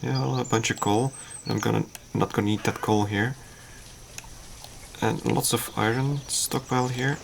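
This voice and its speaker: A man whose first language is Dutch, casually talking through his game on a livestream in a halting, thinking-aloud way. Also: mid-range voice, steady tone, gravelly, slight background noise. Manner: halting, self-deprecating, thinks aloud with fillers. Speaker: streamer.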